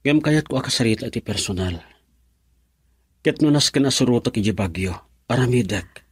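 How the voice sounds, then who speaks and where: A man's voice: medium pitch, expressive, normal speed, husky, no echo. An actor with a Filipino accent playing an earnest, lovelorn young man who speaks respectfully in a radio drama. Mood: earnest, pleading. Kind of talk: Ilocano radio drama acting.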